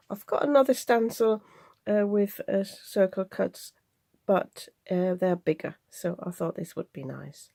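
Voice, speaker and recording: A woman's voice: medium pitch, creaky, mellow, no echo. A woman chatting casually and a little hesitantly as she presents craft supplies in a show-and-tell video. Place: indoors at a craft desk.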